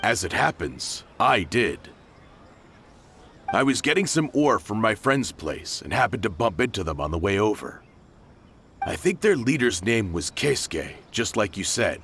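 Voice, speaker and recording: A man's voice: on the low side, big pitch swings, measured pace, a bit bright, slight background noise, exaggerated voice. An actor playing a helpful, easygoing townsman in a video game. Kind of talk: English video game voice acting.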